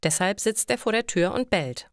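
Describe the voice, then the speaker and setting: A woman's voice: mid-range voice, expressive, moderate pace, clean tone, dry recording, studio quality, lightly acted. A woman voicing a friendly elderly male neighbour in a story for language learners. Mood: explaining, apologetic. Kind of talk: German learner story dialogue.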